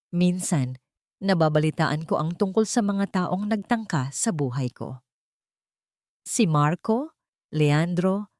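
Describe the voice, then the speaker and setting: A woman with a Filipino accent narrates a story in the first person, reflective and lyrical. Her voice sits very low for a woman. The sound is dry and close, with no room in it. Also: darkish tone, dry recording.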